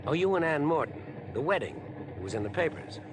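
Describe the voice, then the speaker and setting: An actor playing an overeager, ingratiating stranger with an obsessive streak, chatty and pushy in 1950s radio drama style. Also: male voice, sing-song, fairly quick. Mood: pushy, fawning. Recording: 1950s radio broadcast, train rumble behind.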